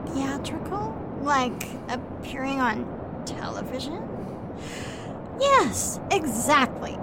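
A gossipy older mother in a radio drama, played by a woman. She speaks slowly in a high voice. Clear ambient noise is behind her. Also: sing-song, full character voice. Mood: earnest, gossipy. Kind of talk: radio play acting. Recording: noisy ambience, traffic rumble.

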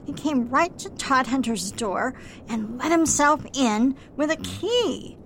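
Suspicious, stern mother for radio play , female actor: high pitch, unhurried deliberate delivery, light noise behind. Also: animated, fairly bright tone, heavily acted. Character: disapproving, suspicious mother of grown daughter. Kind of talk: amateur radio play acting. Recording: faint rumbling background noise.

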